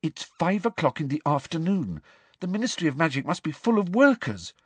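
Man putting on an anxious teenage girl witch, high-pitched. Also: big pitch swings, fairly quick, husky, no room sound. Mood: anxious, doubtful. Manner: worried, hesitant yet persistent. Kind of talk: audiobook character acting.

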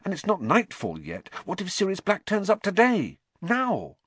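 A man voicing a teenage schoolgirl witch who is anxious and bossy, speaking in a high, fretful way. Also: huge pitch swings, unhurried, fairly bright tone, husky, no room sound, heavily acted. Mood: worried.

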